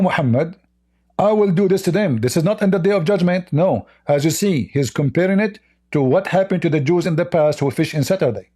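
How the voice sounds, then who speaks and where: Insistent debating man with a mellow voice. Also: fairly high pitch, husky. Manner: combative, insistent, repeats himself to interrupt. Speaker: debate show host. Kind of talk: heated religious debate.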